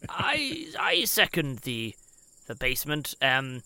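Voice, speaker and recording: A man's voice: mid-range voice, huge pitch swings, slow, bright, forceful tone, smooth, no echo, exaggerated voice. A man playing a nerdy, pedantic paranormal investigator who explains every detail.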